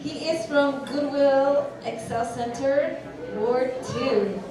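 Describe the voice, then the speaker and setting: High-voiced woman hosting a live event. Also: slow, crowd noise. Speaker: event host. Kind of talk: introducing speakers at a live event. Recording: echoey hall, mic far off.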